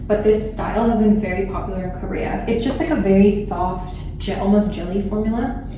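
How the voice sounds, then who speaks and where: A woman's voice: medium pitch, steady tone, a little fast. A young woman who grew up in Thailand, chatting casually through her makeup routine with lots of "like" fillers. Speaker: beauty vlogger. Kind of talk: casual get-ready-with-me chat. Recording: roomy echo, distant mic, background noise.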